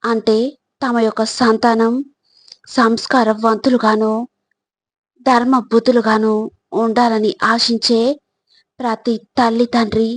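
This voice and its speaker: A woman reading scripted motivational narration in measured, deliberate short phrases. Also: fairly high pitch, little pitch movement, dry recording.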